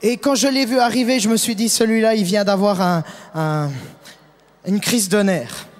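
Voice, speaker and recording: A man's voice: high voice, steady tone, normal speed. A man interpreting a sermon phrase by phrase with emphatic delivery, in a large reverberant hall. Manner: emphatic, echoes the preacher's delivery.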